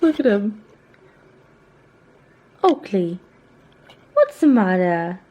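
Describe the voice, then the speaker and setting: A young British woman chatting casually to her vlog viewers in an informal, rambling way. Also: on the high side. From United Kingdom. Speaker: vlogger.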